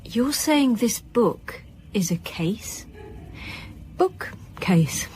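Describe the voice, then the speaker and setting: A woman playing a sharp, dryly witty senior police detective in a naturalistic radio drama, measured and polite. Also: on the high side, very animated, slight background noise, heavily acted. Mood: questioning, composed.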